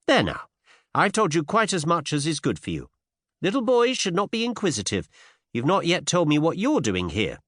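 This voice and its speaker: A man plays a brash, pert young woman in a period audiobook story. The voice is lifted high and swings widely in pitch. The delivery is emphatic and teasing, full of bold curiosity. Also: wildly swooping pitch, moderate pace, fairly bright tone, no echo, studio quality, heavily acted. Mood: airy, teasing. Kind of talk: audiobook character dialogue with period slang.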